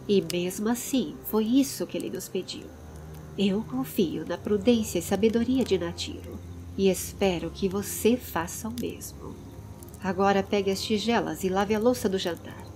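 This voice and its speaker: A woman's voice: mid-range voice, mellow, a little hiss or hum, natural voice. Brazilian woman doing a firm wise guy in a fantasy story, brazilian accent, earnest and commanding, ambience behind. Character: firm, stern, prudent man guiding a younger companion.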